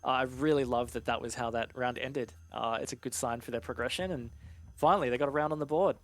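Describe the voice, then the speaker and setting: A man's voice: medium pitch, fast talker. A man giving analytical esports commentary in a conversational, explanatory way. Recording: noisy game gunfire and clicks behind.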